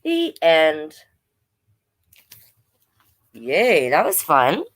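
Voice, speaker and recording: Very animated Canadian woman reading to children. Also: deep voice, extremely slow. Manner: playful, expressive, chatty with young listeners. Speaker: drag performer hosting children's storytime. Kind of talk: reading picture books aloud with casual asides.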